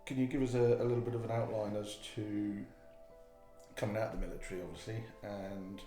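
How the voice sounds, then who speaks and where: Male counsellor-interviewer, low and mellow, gentle prompts, echoey room with faint music. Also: flat delivery, fairly quick. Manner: gentle prompting, short affirmations. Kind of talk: interview questions.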